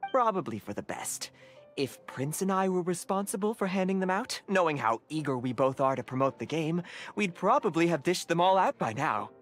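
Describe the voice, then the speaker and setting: A man's voice: on the high side, sing-song, normal speed. A man voicing a genial, enthusiastic, slightly flustered card-game official in a video game, wordy and formal, over music. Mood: cheerful, formal. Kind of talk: animated game voice acting. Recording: background music.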